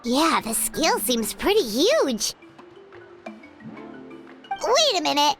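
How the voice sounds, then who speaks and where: A woman voicing a tiny, curious, chatty childlike companion in a video game, squeaky and perky. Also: huge pitch swings, very slow, a bit bright, heavily acted. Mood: perky, inquisitive. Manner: perky, inquisitive. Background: prominent background music.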